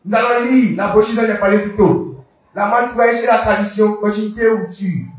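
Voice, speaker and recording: A man preaching a sermon in French, slow and declaiming, repeating the reading after the reader, line by line. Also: high voice, fairly even intonation, a little hiss or hum. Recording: echoey hall, voice from far off.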